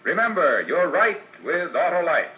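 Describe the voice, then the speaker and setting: A man's voice: a little high, sing-song, moderate pace. An American man working as a 1940s radio announcer, polished and declamatory with a salesman's delivery, heard on a muffled vintage broadcast.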